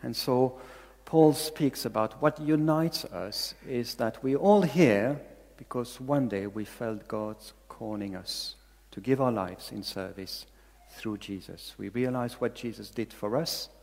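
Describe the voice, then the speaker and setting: A man's voice: medium pitch, takes it slowly, gravelly, a little mellow, in a large hall. A man from Belgium with a Belgian accent, preaching a sermon in English as a second language, earnest and repeating key phrases for emphasis. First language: not English. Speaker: pastor.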